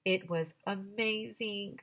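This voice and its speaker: A woman reading a children's book aloud as a teacher to her class, with deliberate, expressive storytelling. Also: mid-range voice.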